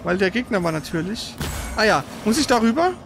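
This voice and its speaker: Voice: mid-range voice, sing-song, a little fast, vocal fry, background noise. A player in a casual let's play, teasing and bossing a partner around with blunt, slangy exclamations. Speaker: gaming video host, co-op player.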